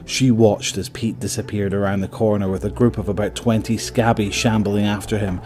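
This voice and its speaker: A man's voice: on the low side, steady tone, normal speed, darkish tone. A man narrating a scripted dramatic story as the narrator of an audio drama.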